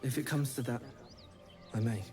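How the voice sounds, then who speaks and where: A man playing a solemn, loyal talking animal in a fantasy game, speaking in halting, terse, earnest phrases. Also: medium pitch, close to an everyday voice. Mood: solemn, wary. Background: music.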